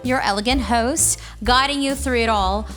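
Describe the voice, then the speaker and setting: A Brazilian woman whose first language is Portuguese, speaking English with an Americanized accent as she hosts a solo podcast from her room in an earnest, deliberate, reflective way. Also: a little high, a bit bright, faint music behind. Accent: Americanized English with Brazilian Portuguese roots. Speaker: podcast host. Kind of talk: scripted solo podcast monologue.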